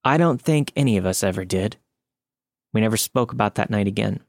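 A man's voice: lowish voice, animated, a little fast, gravelly, no echo, studio quality. A man reading a scary first-person story as scripted podcast narration.

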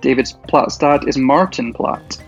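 A friendly man in his thirties with a Shetland accent, chatting easily and sometimes pausing to think. The sound is slightly dull, and faint music runs underneath. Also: medium pitch. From Shetland Isles, Scotland. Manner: friendly, chatty, laughs often, some hesitation. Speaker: quiz contestant. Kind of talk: casual interview answers.

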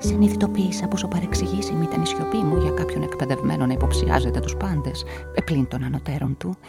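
An actress narrating a radio play in the first person as a nervous events manager, often dropping into confiding whispers. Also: female voice, low voice, vocal fry, loud music behind the voice. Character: nervous, talkative female events manager caught up in a murder.

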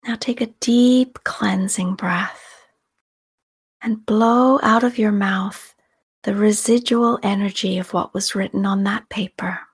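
A woman leading a guided meditation in a soft, often whispery voice, calm and soothing, with long unhurried pauses. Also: medium pitch, breathy edge. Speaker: meditation guide and podcast host. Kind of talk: guided meditation, slow scripted narration.

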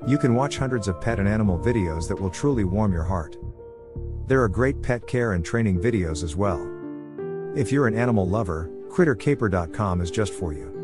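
Male host, lowish register, scripted presenter read with music playing behind. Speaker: podcast host. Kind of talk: scripted narration, show introduction.

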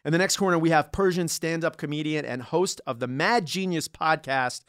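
A man's voice: a little high, moderate pace, fairly bright tone, smooth, no room sound. Jokey male podcast host, dry studio sound. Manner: jokey, laughs often. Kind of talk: playful podcast hosting.

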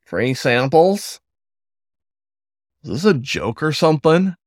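A sarcastic young cacomistle coworker, as a male narrator plays him, teasing in a lively, bouncing voice. The sound is close and dry. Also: on the high side, slow pace, no room sound, voice pushed up, exaggerated voice. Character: sardonic young male cacomistle store worker.

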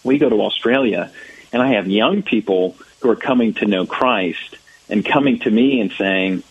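A man's voice: fairly low pitch, normal speed, husky, lo-fi sound. An American man in his thirties, a church ministry director answering interview questions thoughtfully, with many fillers and restarts. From United States.

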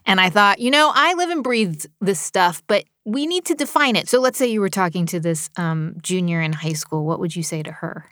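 A woman hosting an interview, asking curious, lively questions in casual conversation. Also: fairly low pitch, moderate pace, hardly any pauses, no room sound, clean studio sound. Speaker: interviewer host.

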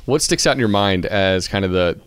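Fast-talking male podcast host, lowish voice. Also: expressive, clean tone, no room sound. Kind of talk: casual chat, interviewing.

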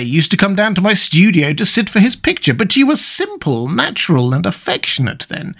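Guy voicing an upset older painter in an old novel, earnest n pained, scolding, voice goes high and all over. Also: a bit bright, heavily acted. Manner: pained, reproachful, emphatic. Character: earnest, anguished older male painter, morally shocked. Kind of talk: dramatised audiobook reading of a classic novel.

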